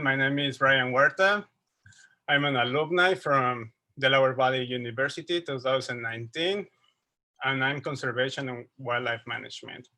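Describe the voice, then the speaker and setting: A young man with a Mexican Spanish accent presents over a computer microphone on a video call. His voice is bright and forward. He speaks informally, restarts his sentences often, and stops to fix his wording. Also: medium pitch. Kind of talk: casual slideshow talk over video call. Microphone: computer mic on a video call.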